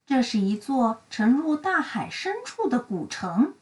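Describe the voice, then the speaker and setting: A woman narrating a children's storybook in an expressive, dramatic and deliberate storytelling style. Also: a little high, far from the mic. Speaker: read-aloud storyteller for children.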